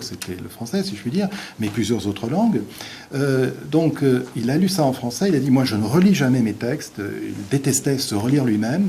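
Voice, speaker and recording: A male visiting university lecturer, a native Portuguese speaker, giving an academic lecture in a halting way with many restarts and fillers. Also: mid-range voice, mellow, a little hiss or hum.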